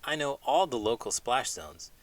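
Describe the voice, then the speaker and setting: A man's voice: medium pitch, very animated, moderate pace, no room sound. A middle-aged man hosting children's read-alouds, speaking expressively with dramatic, playful emphasis. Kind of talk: expressive storybook reading and scripted puppet banter.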